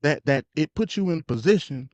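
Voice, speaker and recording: A man's voice: a little high, animated, moderate pace, darkish tone, dry recording. An American man hosting a live stream with casual, opinionated political commentary, emphatic and slangy, repeating himself and stuttering. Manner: emphatic, repetitive, slangy, conversational. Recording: dull, lo-fi live stream audio.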